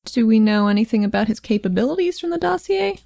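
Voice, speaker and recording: A woman's voice: on the high side, sing-song, quick pace, creaky, mellow. A woman chatting casually as a player in a tabletop roleplaying game, describing her character and asking questions.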